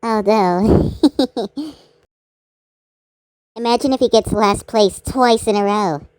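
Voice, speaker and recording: A woman's voice: on the high side, takes it slowly, a little mellow, no room sound, poor audio. A woman doing amateur cartoon voice acting in an exaggerated, theatrical manner.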